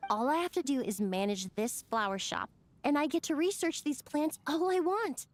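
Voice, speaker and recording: High, lilting young perfumer. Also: female voice, lively intonation, normal speed, soft background music. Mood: friendly, explaining. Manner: polite, enthusiastic, thoughtful. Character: friendly young woman perfumer minding a flower shop. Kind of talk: video game character voice acting.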